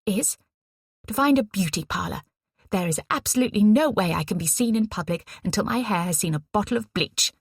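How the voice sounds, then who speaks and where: A cheeky young wartime nurse, full of brisk, bubbly energy, as a woman reads her in an audiobook. She sits a little higher and louder than a plain narrating voice, with a gravelly, husky edge. The sound is dry and close. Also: lively intonation, no room sound. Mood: bossy. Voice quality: brisk, teasing, exuberant.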